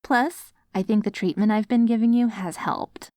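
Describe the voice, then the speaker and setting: A woman's voice: a little high, fairly even intonation, moderate pace, warm tone, no echo, close to an everyday voice. A woman playing a devoted, hopeful wife tenderly coaxing her zombie husband in an ASMR audio roleplay. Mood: tender, encouraging. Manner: tender, coaxing, speaks directly to a loved one.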